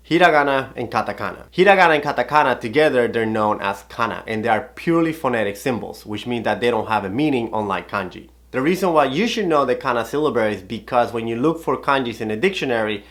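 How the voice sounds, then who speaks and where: Man teaching calligraphy. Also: mid-range voice. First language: Spanish. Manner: explanatory, steady, methodical. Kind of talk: instructional video talk.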